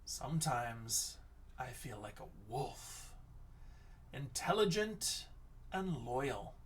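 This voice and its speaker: A man reads to a class of children as their teacher, at a slow, deliberate pace. His delivery is expressive, often sinking into a hushed, breathy whisper. He sounds set back from the microphone. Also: medium pitch, recorded from a distance, slight background noise. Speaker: teacher reading aloud to class. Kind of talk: read-aloud of a picture book and lesson chat for children.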